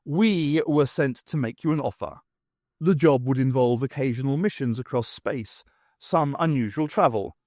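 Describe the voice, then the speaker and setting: A man's voice: medium pitch, moderate pace, a little mellow, no room sound, clean studio sound, lightly acted. A man voicing an earnest male envoy in an audiobook, formal and explanatory in manner. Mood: businesslike.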